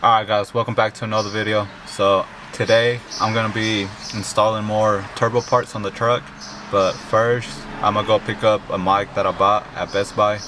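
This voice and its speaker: A young man with a deep voice in a casual vlog, talking plainly while working on a truck engine outdoors. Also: flat delivery, normal speed, background noise. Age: young adult. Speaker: car vlogger. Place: in a pickup cab and outdoors at an engine bay.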